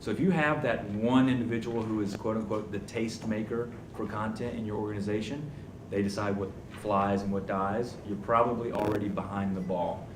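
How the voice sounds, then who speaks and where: A man's voice: fairly low pitch, fairly even intonation, moderate pace. A young man speaking on a conference panel in a confident, punchy, joking way. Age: young adult. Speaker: panelist, communications officer. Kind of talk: panel discussion talk. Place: conference room before a live audience. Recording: echoey room, distant mic, noisy.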